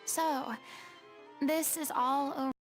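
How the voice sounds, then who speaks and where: A woman's voice: high voice, steady tone, slow, faint music behind. An actress voicing a theatrical, commanding young woman in a video game, speaking with dramatic expression and authority. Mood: intent, curious. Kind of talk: scripted video game voice acting.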